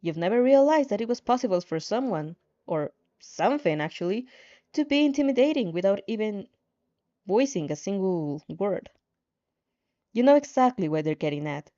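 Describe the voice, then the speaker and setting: A woman's voice: mid-range voice, expressive, moderate pace, mellow, dull audio. A woman narrating fan fiction expressively, as an amateur storyteller.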